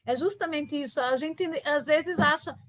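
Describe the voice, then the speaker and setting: A woman's voice: high-pitched, moderate pace, a bit bright, no echo. Hesitant woman. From southern Brazil. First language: German. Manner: hesitant, many restarts and fillers. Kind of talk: reflective conversation.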